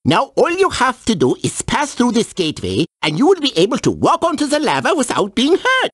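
A woman playing an excitable, flustered scientist in a video game, stammering and exclaiming. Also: fairly high pitch, brisk, breathy edge, no echo, clean studio sound, exaggerated voice. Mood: explanatory. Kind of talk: animated video game character dialogue.

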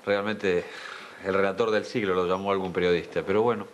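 Argentine man, lowish voice, presenting. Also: fairly even intonation, fairly quick, background noise. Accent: Argentine Spanish. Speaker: television presenter. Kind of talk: spoken tribute to a broadcaster. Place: television studio.

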